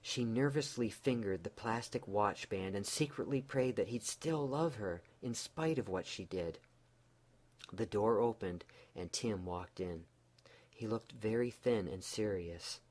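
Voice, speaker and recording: Low-voiced man reading a bedtime story. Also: measured pace, a little mellow.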